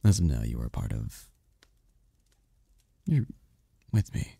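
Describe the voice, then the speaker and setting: A man voicing a seductive, possessive demon prince in an ASMR roleplay, with a husky, gravelly voice and a regal, flirtatious manner. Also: rumbling low, big pitch swings, mellow, dry recording, studio quality, heavily acted. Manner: regal, slow-savouring, flirtatious.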